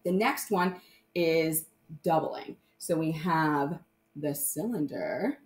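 Lower-pitched woman teaching young children. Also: slow. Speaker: primary math teacher. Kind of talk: explaining shapes to young children, informal demonstration.

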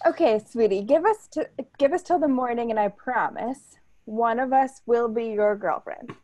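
A woman's voice: on the high side, moderate pace, darkish tone, no room sound, lo-fi sound, close to an everyday voice. A woman at a comedy table read playing a brash, wheedling, scheming ex-convict.

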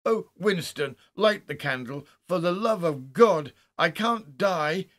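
Man acting out frightened shivering man, horror audiobook, raised pitch, urgent repeated pleas, loud panicky cries. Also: lively intonation, takes it slowly. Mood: panicked, pleading. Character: terrified shivering man panicking in the dark, pleading. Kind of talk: dramatic audiobook reading of a horror story.

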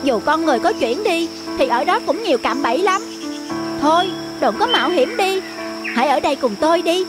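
A woman voicing a cautious, concerned rabbit in an animated children's story, with a pleading, cautioning manner. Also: sits high, a little fast, loud music behind the voice. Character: cautious, concerned cartoon forest rabbit friend.

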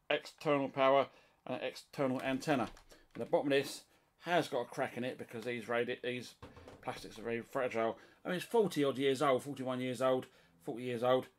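A man with a British accent presents his hobby equipment in an informal, hands-on way. His voice sits at an average pitch. He rambles along colloquially, speaking from behind the camera in a small room at home. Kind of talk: casual hands-on show-and-tell. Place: small indoor room at home, radio shack.

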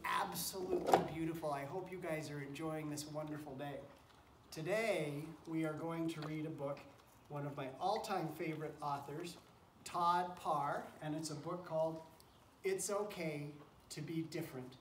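A man's voice: fairly high pitch, moderate pace. A man reading a picture book aloud to children like a teacher, chatting encouragingly and breaking off for asides to the animals around him. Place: wooden barn pen with straw, among goats and chickens. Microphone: camera a few feet away. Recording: roomy, noisy barn, distant camera mic.

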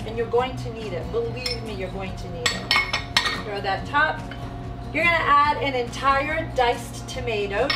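Woman chef, 40s, chatty how-to, higher voice, recorded from a distance in a roomy kitchen. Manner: chatty and instructive, walking through steps. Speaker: restaurant chef and cooking host. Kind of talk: casual cooking demonstration. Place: restaurant kitchen, indoors. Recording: echoey kitchen, voice from off-mic, music behind.

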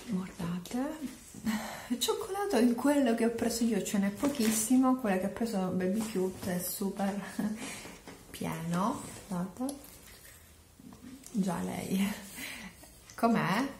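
Slow, chatty woman in an echoey room. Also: medium pitch, animated, darkish tone, a little hiss or hum. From Palermo, Sicily. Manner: chatty and explanatory, with frequent fillers. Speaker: vlogger. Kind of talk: grocery haul chat to camera. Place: indoors, small home room. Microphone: camera at close range.

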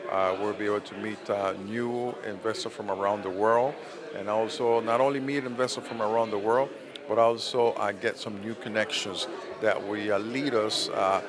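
A man in his fifties, a company CEO, speaking haltingly with many pauses in an event interview. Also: on the low side, steady tone. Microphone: handheld interviewer mic. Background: crowd chatter.

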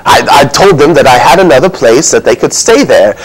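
A flustered innkeeper of ancient Bethlehem, acted out by a man in a comic stage monologue. He has a mid-pitched voice and tells his story defensively, rambling through excuses with wounded indignation. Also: lively intonation, fairly quick, lightly acted, low-quality recording. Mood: exasperated.

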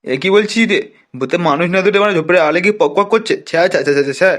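A man's voice: high-pitched, very fast, fairly bright tone. A man playing a brash, foul-mouthed village gang leader in a comic cartoon, scolding and blustering colloquially. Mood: mocking.

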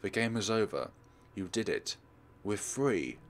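A man's voice: on the low side, animated, unhurried. A man voicing a protective teenage girl in a horror visual novel, explaining things with grave urgency. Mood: urgent, reassuring. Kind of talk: visual novel character read-aloud.

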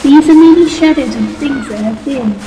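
A woman's voice: sits high, fairly quick, natural voice. A woman playing a stern, composed spirit guide in an audio play, speaking formally. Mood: probing. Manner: formal, admonishing. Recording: echoey, reverberant, noisy audio play mix.

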